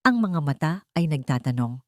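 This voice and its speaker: Expressive Filipino woman narrating a drama. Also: low-pitched, normal speed, no room sound, clean studio sound. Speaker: audiobook narrator. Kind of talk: dramatic scripted story narration.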